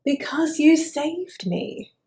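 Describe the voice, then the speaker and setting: A tiny, upbeat worm chatters away in a high, eager voice, as a woman reads him aloud. He is friendly and keen, always pleased to make a friend. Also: lively intonation, slow, roomy sound. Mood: cheery. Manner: bright, eager. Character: cheerful chatty little worm, speedy and friendly.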